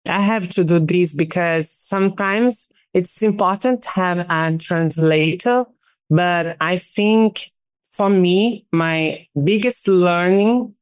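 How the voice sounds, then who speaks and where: Artist guest, woman, halting English, low and clear. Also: dry recording. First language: Portuguese. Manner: halting, searches for English words.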